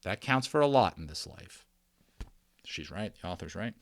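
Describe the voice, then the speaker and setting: A man reading a children's novel aloud in an expressive, theatrical storytelling style. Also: lowish voice, moderate pace, no echo, studio quality.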